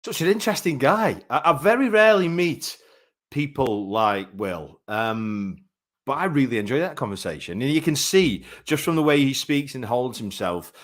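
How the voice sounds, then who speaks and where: A man's voice: mid-range voice, lively intonation, normal speed. A man hosting a live online chat show, chatty and effusive, calling his guests mate. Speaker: show host.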